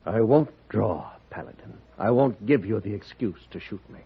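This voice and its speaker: Husky, smug western villain. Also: male voice, on the low side, expressive, normal speed, full character voice. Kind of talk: 1950s radio western acting. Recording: muffled old radio recording.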